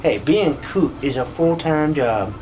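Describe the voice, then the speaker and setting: Teasing man chatting over clear background noise. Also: fairly high pitch, animated, a little slow. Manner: teasing, goofy. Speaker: streamer and amateur voice dubber. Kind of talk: casual joking livestream chat.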